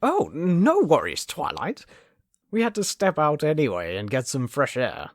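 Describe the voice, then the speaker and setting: A man playing a guarded, earnest chaos spirit with smooth talk in a cartoon-style audio drama. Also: fairly high pitch, huge pitch swings, a little slow, dry recording, studio quality. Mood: casual, reassuring. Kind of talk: animated-series fan audio drama.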